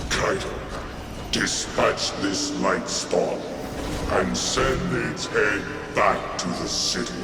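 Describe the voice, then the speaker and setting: An actor playing a huge, domineering alien warlord ghost in a video game, taunting with grandiose, menacing contempt. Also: male voice, fairly low pitch, slow, creaky. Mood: scornful. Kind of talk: scripted video game dialogue. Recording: echoing voice under loud music.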